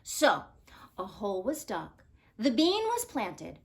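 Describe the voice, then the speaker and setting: A woman reading a picture book aloud to children in an expressive, theatrical way with deliberate phrasing. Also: mid-range voice. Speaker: storybook reader.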